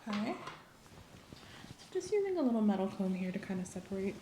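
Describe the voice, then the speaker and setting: Female ASMR examiner: soft and often whispered, dark relaxed tone, gentle clinical delivery, slight background noise. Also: mid-range voice, fast, poor audio. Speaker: ASMR performer playing a medical examiner. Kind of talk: ASMR medical exam roleplay.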